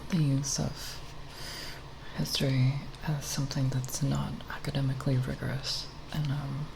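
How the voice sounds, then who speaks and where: A woman with a very low, heavily creaky voice murmurs and whispers in an ASMR style. She muses haltingly and without hurry, like a nerdy ramble. Clear noise and plastic tapping sit behind her. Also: level tone. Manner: halting, unhurried, nerdy musing. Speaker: ASMR video creator. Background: plastic bottle tapping.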